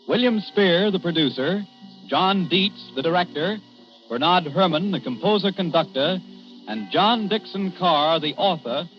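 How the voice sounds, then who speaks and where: A man announces in a formal, crisp manner, his voice a little high. Faint music sits behind him. The sound is a muffled 1940s radio broadcast. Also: lively intonation, a little slow. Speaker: network announcer.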